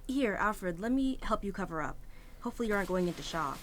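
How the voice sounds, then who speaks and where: A woman's voice: mid-range voice, expressive, quick pace, fairly bright tone, lightly acted. A woman acting as a caring, practical young student in an amateur audio drama, urgent and forthright.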